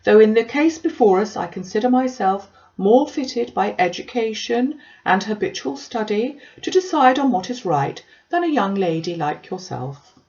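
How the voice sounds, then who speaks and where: A woman reads as a self-important clergyman from a classic novel, wordy and obsequious. The delivery is eager and formal, lifted in pitch, on a slightly dull lo-fi recording. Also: expressive, moderate pace, hardly any pauses, darkish tone, lightly acted. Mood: pompous, lecturing. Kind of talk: audiobook character dialogue.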